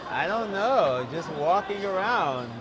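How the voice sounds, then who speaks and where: A man's voice: sits high, expressive, slow. An American man vlogging, chatting casually outdoors with people he meets on a busy city street. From Texas, United States. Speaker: travel vlogger. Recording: loud busy street, crowd and traffic noise.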